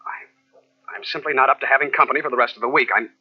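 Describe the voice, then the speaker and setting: A man's voice: mid-range voice, fairly even intonation, fast talker, close to an everyday voice. An actor playing a nervous, evasive newspaperman in a 1940s radio drama, hesitant and stammering. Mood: agitated. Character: jumpy, evasive newspaperman husband with a guilty secret. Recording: muffled old radio tape.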